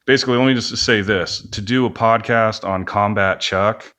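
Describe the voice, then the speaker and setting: A man with a low voice speaks as a guest in a casual interview. He rambles a little and hedges his points, sounding self-effacing as he explains things. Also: normal speed, sharp tone. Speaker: podcast guest, band musician and studio owner.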